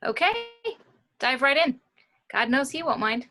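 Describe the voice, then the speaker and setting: A woman's voice: high voice, a little slow, sharp tone, full character voice. A woman playing a confident, flirtatious morgue doctor in an informal script read, wry and matter-of-fact. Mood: flirty, confiding.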